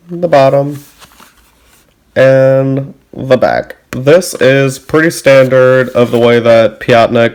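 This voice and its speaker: A man with a mid-pitched voice talks at a slow pace, as if hosting a casual review. He is unscripted and halting, often pausing partway through a thought. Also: gravelly, darkish tone. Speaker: host of a tarot deck review channel.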